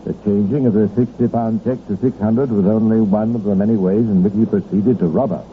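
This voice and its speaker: A man narrating a scripted true-crime radio programme in a measured, formal storytelling manner, on an old recording. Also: lowish voice, steady tone. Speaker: narrator and host. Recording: tinny, noisy 1950s radio recording.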